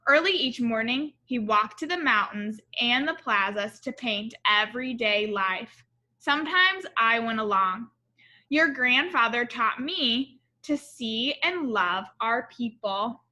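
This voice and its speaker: A loving storybook father, read by a woman in a bright voice, fairly high. The delivery is measured and gentle, as a parent tells family history. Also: animated, full character voice. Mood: fond, reflective.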